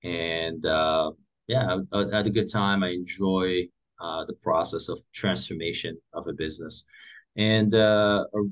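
A man's voice: deep voice, fairly even intonation, a little slow. A man in his forties from Morocco, telling his career story as an interview guest with many fillers and restarts. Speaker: guest entrepreneur.

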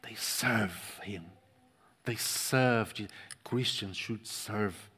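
A man whose first language is Portuguese, preaching a sermon with passion, swinging between loud stresses and hushed lines and repeating words for emphasis. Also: fairly low pitch, extremely slow, breathy edge. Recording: long reverb of a large hall.

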